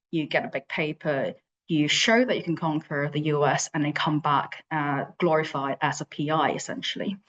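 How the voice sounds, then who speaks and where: A woman's voice: deep voice, low-quality recording. A woman from Hong Kong giving a virtual conference talk as an invited researcher, with frequent fillers and restarts. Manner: hesitant, many fillers and restarts. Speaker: invited speaker, lab leader.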